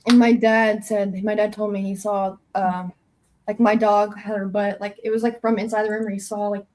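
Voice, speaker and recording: A young woman guest tells her story at a casual pace. She rambles and fills her talk with "like", and her voice sits at an ordinary pitch. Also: level tone, normal speed, a little mellow, a little hiss or hum. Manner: rambling, with many fillers of "like".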